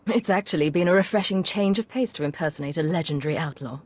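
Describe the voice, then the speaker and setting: A woman's voice: low-pitched, fast, darkish tone. A woman playing a cool, sardonic imperial agent in a video game, dry, clipped and controlled. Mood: dry, probing.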